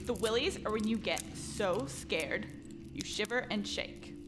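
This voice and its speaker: An actress playing a scornful, exasperated older sister in a comic radio play. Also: female voice, medium pitch, a little slow, background noise, close to an everyday voice. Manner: exasperated, sighing. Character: exasperated, scornful big sister of a foolish boy.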